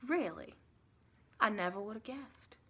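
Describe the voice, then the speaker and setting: A woman playing a sweet, chatty vampire lady with a Southern US accent, soft-spoken and partly whispering in a rambling, reassuring ASMR roleplay. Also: mid-range voice, animated, measured pace, breathy edge, full character voice. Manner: folksy, rambling, reassuring, doting.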